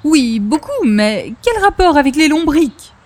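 A woman's voice: sits high, sing-song, measured pace. An actress playing a gushing, coquettish yet earnest lady visitor, with flowery, exaggerated delivery in 1940s-style radio comedy. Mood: eager.

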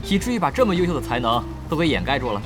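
An actor playing a proud, driven young esports captain in an audio drama, expressive and dryly sardonic. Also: male voice, medium pitch, rapid-fire, lightly acted. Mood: incredulous, sardonic. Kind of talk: Mandarin audio drama acting. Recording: dramatic music bed behind the voice. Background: prominent background music.

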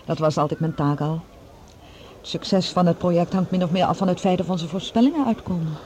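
An actress playing an earnest, vulnerable scientist on a Mars project in a Dutch science fiction radio drama. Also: female voice, fairly low pitch, fairly quick, darkish tone, a little hiss or hum, lightly acted. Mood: hesitant, serious.

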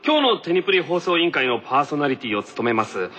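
A man's voice: mid-range voice, lively intonation, quick pace, noisy background, pitched below the performer's own voice, natural voice. A man voicing a brash, easily flustered teenage boy from a tennis team in an anime radio skit, talking bluntly. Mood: upbeat presenting. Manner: blunt, rough boyish talk. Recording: dull lo-fi radio recording.

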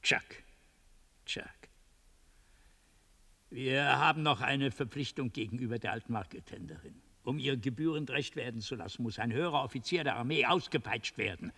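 An actor playing an older tyrant general in a radio drama, raspy and commanding, given to furious outbursts. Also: male voice, mid-range voice, animated, normal speed, bright, forceful tone. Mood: scheming, stern. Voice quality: raspy, creaky. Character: volatile tyrant general, scheming, cold, exploding in rage.

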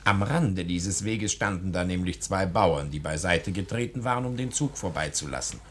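An actor playing a wry storyteller, narrating a bawdy old tale in theatrical 1940s radio style. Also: male voice, sits low, moderate pace, slightly rough. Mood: wry, relishing.

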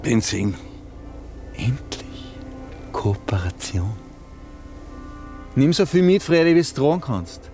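An actor playing a domineering, sarcastic hijacker with an Austrian accent and colloquial dialect in a radio drama. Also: male voice, medium pitch, big pitch swings, takes it slowly, pauses often, music in the background. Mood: bossy, sarcastic. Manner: bossy, sarcastic, lecturing. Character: domineering hijacker, a conspiracy-minded man holding two hostages.

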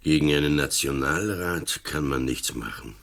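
An old police commissioner in a radio drama. His voice is extremely deep, and he talks in a calm, deliberate way with dry wit. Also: creaky, full character voice. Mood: dry, matter-of-fact. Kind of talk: radio play acting.

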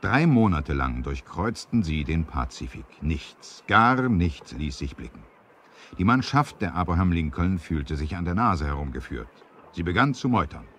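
A man narrating an adventure radio play as an expressive, suspenseful storyteller with a husky voice. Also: low voice, normal speed.

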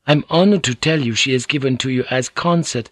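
A man's voice: medium pitch, a little fast, no room sound, clean studio sound, voice pushed up, lightly acted. A man reading an audiobook, voicing a polite, anxious young Japanese noblewoman who serves as interpreter. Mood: earnest, apologetic. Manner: polite, soothing, earnest. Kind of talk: audiobook character voice.

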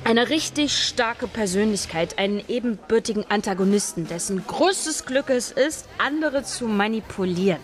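A woman's voice: medium pitch, expressive, moderate pace, few pauses, natural voice. An actress playing a young female scriptwriter who talks earnestly and with growing enthusiasm in a radio play.

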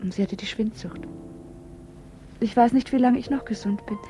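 An actress playing a young variety dancer in love, soft, tender and yearning, in an intimate German radio play. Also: female voice, on the high side, moderate pace, lightly acted. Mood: dreamy, yearning. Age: young adult. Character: dreamy, yearning 22-year-old variety-show snake dancer. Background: music.

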